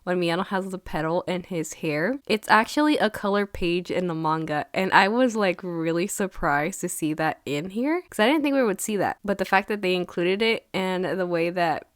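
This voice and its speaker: A young woman with a slightly hoarse voice from being sick, chatting casually and gushing as she reviews anime episodes. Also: on the low side, lively intonation, normal speed, few pauses, dry recording, clean studio sound. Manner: chatty and gushing, lots of "you know". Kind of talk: casual chat.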